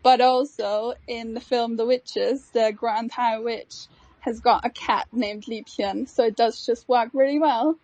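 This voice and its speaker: A woman's voice: a little high, fairly even intonation, a little slow, a little mellow, no room sound, low-quality recording. A young woman from Austria, a native German speaker, chatting casually as a guest co-host, giggling often and talking with many fillers. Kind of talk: casual live audio chat.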